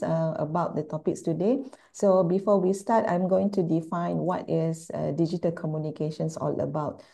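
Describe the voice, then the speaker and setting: A woman's voice: on the low side, vocal fry, dark tone. A woman with a Malaysian accent giving a conference presentation, explaining things step by step with repetition and rhetorical questions. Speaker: university speaker at an online conference. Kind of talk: plenary presentation, lecture.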